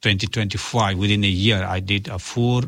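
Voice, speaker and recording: A man's voice: lowish voice, fairly even intonation, moderate pace, fairly bright tone. A man whose first language is Nepali, giving earnest, formally phrased answers as an interview guest. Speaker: interview guest, community worker and officiant for migrants and refugees.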